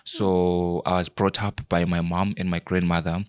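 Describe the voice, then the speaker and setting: A young man from Zimbabwe, a podcast guest, talking in a quiet, shy, soft-spoken way. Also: sits low, flat delivery, moderate pace, clear, resonant tone. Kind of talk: casual interview conversation.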